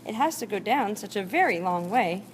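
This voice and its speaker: A woman's voice: mid-range voice, animated, moderate pace. A woman on staff reading a rhyming storybook aloud. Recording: faint background room noise.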